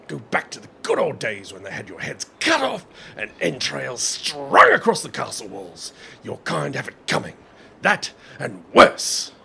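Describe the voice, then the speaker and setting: Man acting a gravelly, haughty bigoted lord. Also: medium pitch, wildly swooping pitch, measured pace, sharp tone, full character voice. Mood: hateful, menacing. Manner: indignant, pompous, venomous. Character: bigoted, haughty male government minister, hateful and sneering. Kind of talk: audio drama acting. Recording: noisy, with background ambience.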